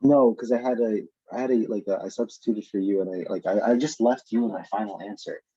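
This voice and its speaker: Husky, muffled young man, hesitant. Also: fairly low pitch, fairly quick, dark tone, no echo. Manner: hesitant, rambling with fillers. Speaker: student. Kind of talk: answering in class. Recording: dull, muffled video-call audio.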